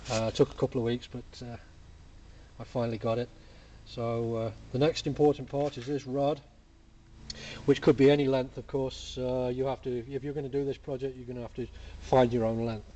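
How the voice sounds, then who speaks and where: A man talks through a hands-on workshop project in an easygoing, plain-spoken way, with a mellow, relaxed voice. Faint background noise sits under his voice, picked up by a camera mic at a cluttered workbench. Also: medium pitch, moderate pace, highs cut off. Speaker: hobby engineer demonstrating a build. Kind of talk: casual workshop how-to. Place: cluttered home workshop bench.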